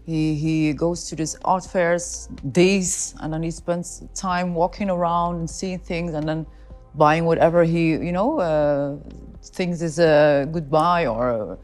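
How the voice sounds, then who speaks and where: A woman's voice: low voice, fairly even intonation, slow, a little mellow, faint music behind. A woman from the Netherlands with a Dutch accent, giving casual, chatty interview answers as a homeowner.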